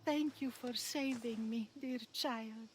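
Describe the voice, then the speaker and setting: A woman's voice: on the high side, steady tone, slow, slight background noise. An actress playing a remorseful old Greek oracle, a grandmother in a video game, solemn and pleading. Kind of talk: video game cutscene acting.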